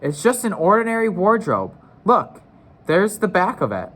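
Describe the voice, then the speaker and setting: Guy doing a bossy sensible older sister from a storybook, higher pitch, scolding. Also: big pitch swings, normal speed, fairly bright tone, full character voice. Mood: dismissive.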